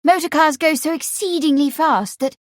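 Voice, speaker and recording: A woman voicing a girlish, excitable young upper-class lady in an audiobook, breathless and gushing. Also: very high voice, normal speed, no echo, studio quality, voice pushed up. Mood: excited.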